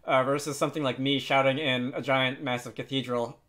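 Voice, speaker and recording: A man hosting a casual software tutorial, thinking aloud hesitantly and adding wry, self-deprecating asides. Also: mid-range voice, steady tone, fairly quick. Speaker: tutorial host.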